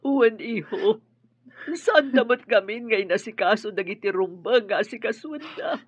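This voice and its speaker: An actress playing an elderly grandmother grieving, speaking slowly and tearfully in a radio drama. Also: medium pitch, slightly rough, no room sound, close to an everyday voice. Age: older. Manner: slow, tearful. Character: elderly grandmother grieving her son abroad. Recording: dry, dull radio drama audio.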